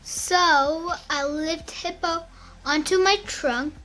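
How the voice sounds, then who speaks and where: A child reading a picture book aloud in a careful, halting beginner's way, with music behind. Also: very high voice, steady tone, bright, forceful tone.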